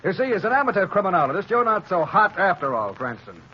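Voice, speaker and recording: An actor playing a scornful police commissioner, brusque and sarcastic, in 1930s radio style. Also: male voice, high-pitched, big pitch swings, fast talker. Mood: scornful, impatient. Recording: muffled, noisy 1930s radio recording.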